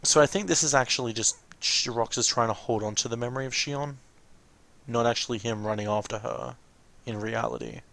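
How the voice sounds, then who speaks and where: A man's voice: medium pitch, steady tone, fairly quick, gravelly, fairly bright tone, low-quality recording. Casual man musing over game cutscenes. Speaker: let's watch host. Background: game music behind the voice.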